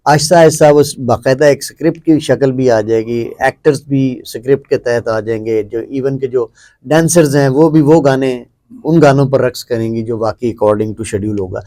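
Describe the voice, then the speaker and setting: A man's voice: medium pitch, dark tone, dry recording. A middle-aged Pakistani man hosting a conversational interview, mixing English words and phrases into his talk. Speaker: host.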